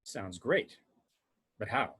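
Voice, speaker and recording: A man in an amateur table read playing a dry, skeptical science officer on a spaceship, measured and deadpan. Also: fairly low pitch, breathy edge, full character voice.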